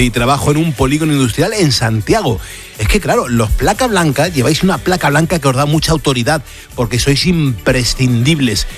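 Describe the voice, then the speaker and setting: A man with an accent from Spain hosting a radio show, reading listener messages in an effusive, affectionate manner. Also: mid-range voice. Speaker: radio host.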